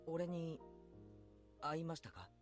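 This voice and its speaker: An actor playing an earnest, uneasy teenage schoolboy in a visual novel, with hesitant phrasing. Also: male voice, a little high, lively intonation, normal speed, over music, lightly acted. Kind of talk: Japanese visual novel voice acting.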